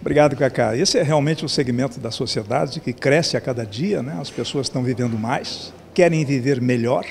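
A man's voice: mid-range voice, normal speed, creaky, hardly any pauses, darkish tone. A seventy-year-old man with a Brazilian accent answering an interview at an event. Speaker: trade fair organizer. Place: event lounge. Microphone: handheld interview microphone. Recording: faint event room noise.

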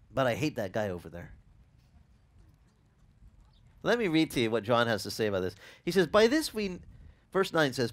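A man in his forties, a pastor who grew up speaking Italian, teaching a casual sermon outdoors on a beach with a headset mic, asking his listeners questions as he goes. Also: on the high side. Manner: animated, conversational, asks the listeners questions.

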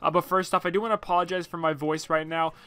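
A man's voice: a little high, steady tone, quick pace, sharp tone, clear, resonant tone. A young man with a stuffed-up nose, rambling apologetically through a gaming commentary voice-over with many fillers. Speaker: gaming YouTuber.

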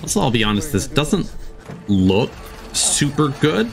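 A man's voice: medium pitch, big pitch swings, a little slow, gravelly, over music. A man hosting a watch-along with casual, dry, critical commentary, close to the mic.

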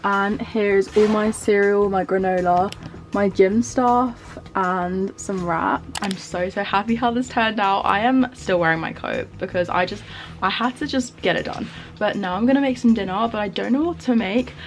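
A woman's voice: mid-range voice, moderate pace, faint music behind. A young woman chatting casually to camera at home, bubbly and gushing, with lots of "like". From England. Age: young adult. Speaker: lifestyle vlogger. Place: small home bedroom and kitchen.

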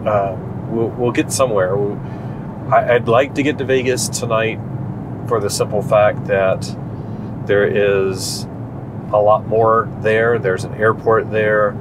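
Male driver and vlogger, thirties, lowish voice, casual talk over steady cabin and road noise. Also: measured pace. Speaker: vlog co-host, driver. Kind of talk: casual chat. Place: inside a moving car.

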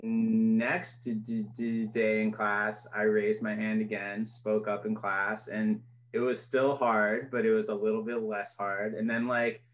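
Slow-talking man who stutters openly. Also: fairly low pitch, poor audio. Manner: stutters with sound repetitions, relaxed and open. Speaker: podcast host. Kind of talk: casual interview over video call. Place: small room indoors. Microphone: webcam mic on video call.

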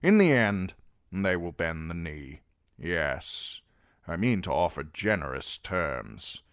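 A man voicing a stern, cold old lord who speaks in measured, authoritative tones. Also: deep voice, sing-song, fairly bright tone, no echo, clean studio sound, heavily acted. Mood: calculating.

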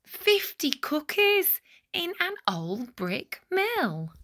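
A woman reading a rhyming children's storybook aloud with deliberate, dramatic phrasing. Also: extremely high pitch, big pitch swings, pushed and bright. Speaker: picture book reader.

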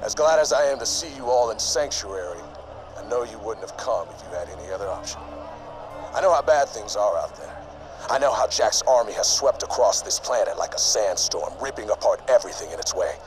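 An actor playing an earnest, resolute military leader with a commanding, solemn way of speaking, in a video game. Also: male voice, lowish voice, lively intonation, brisk, close to an everyday voice, low-quality recording. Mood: solemn, urgent. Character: earnest, resolute male military resistance leader rallying soldiers. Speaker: game character. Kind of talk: scripted speech in a video game audio log. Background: music.

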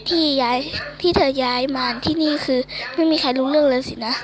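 Woman voicing comic teacher, stern, exasperated, blunt, high-pitched, background chatter. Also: a little fast, close to an everyday voice. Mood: probing. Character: stern, exasperated adult teacher questioning a student.

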